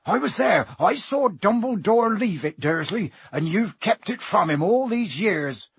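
A man voicing a huge, gruff, hot-tempered but good-hearted giant in a booming, growling, folksy way for a storybook. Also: very animated, slow pace, no room sound, exaggerated voice. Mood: furious, disbelieving.

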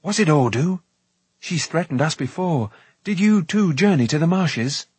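A man's voice: fairly high pitch, lively intonation, measured pace, darkish tone, husky, no room sound, voice pushed up, full character voice. A man voicing an earnest, astonished young hero for an audiobook, exclaiming breathlessly. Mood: aghast, earnest. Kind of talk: audiobook character voice.

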